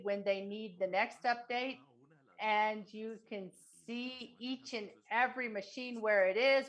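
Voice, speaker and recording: A woman interpreting a forum speech, delivering it in measured, halting short phrases. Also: medium pitch, a bit bright, low-quality recording.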